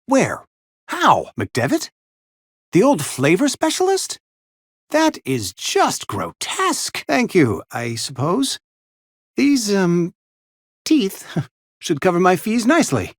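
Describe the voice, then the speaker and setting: Fussy, animated small-town undertaker. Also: male voice, fairly high pitch, no echo, studio quality. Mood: shocked, indignant, then sheepish, formal. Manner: formal, wordy, florid, over-polite. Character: fussy, mournful, company-loyal frontier town barber and undertaker. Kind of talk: theatrical video game character acting.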